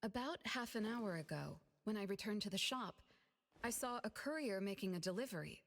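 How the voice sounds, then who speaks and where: A woman playing a composed, polite young woman in an anime-style game, measured and earnest. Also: medium pitch, lively intonation. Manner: measured, explanatory. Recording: reverberant hall-like sound.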